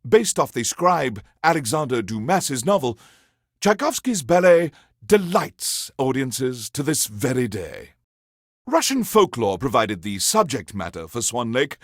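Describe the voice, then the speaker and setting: An actor playing a pompous alien warlord who hosts a classical music radio show, savoring each phrase in a grandiose, theatrical way. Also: male voice, mid-range voice, no room sound, clean studio sound. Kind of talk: theatrical scripted radio DJ monologue.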